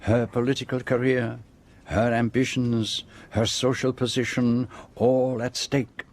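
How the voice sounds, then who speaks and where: An actor playing a shrewd, precise Belgian detective with a French-speaking accent, formally laying out a case in a radio drama. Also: male voice, medium pitch, little pitch movement, natural voice. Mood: measured, assured. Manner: measured, precise, formal explanation. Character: shrewd, precise, theatrical Belgian detective, middle-aged man.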